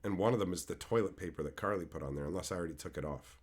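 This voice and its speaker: Male streamer, hoarse with a cold, loose and foul-mouthed, reading chat aloud. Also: low voice, very fast. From Canada. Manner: loose, swears freely, answers chat by name. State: hoarse from being sick. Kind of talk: casual live-stream chat.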